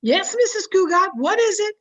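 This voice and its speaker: A woman's voice: very high voice, sing-song, normal speed, fairly bright tone, highs cut off, full character voice. A woman playing a frank, loyal, chatty housemaid in an old-time radio sitcom style. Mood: attentive, dry.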